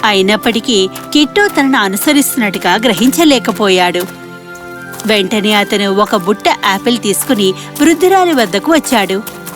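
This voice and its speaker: A woman's voice: mid-range voice, expressive, normal speed, music in the background. A woman narrating a scripted children's fairy tale as the storyteller.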